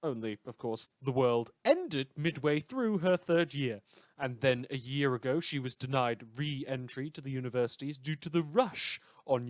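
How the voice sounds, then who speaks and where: A man playing a gleeful, sardonic villain who hosts a radio news show, delivering a scripted monologue with dramatic pauses and sarcasm. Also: mid-range voice, no echo, studio quality, close to an everyday voice. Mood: wry storytelling.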